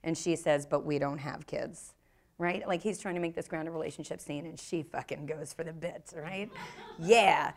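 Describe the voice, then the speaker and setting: A woman's voice: deep voice, steady tone, moderate pace. A middle-aged American woman speaking casually and bluntly as an interview guest on stage, swearing freely and acting out quotes. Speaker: improv teacher and performer, interview guest. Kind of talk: casual, animated interview talk. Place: on stage in a small dark theater.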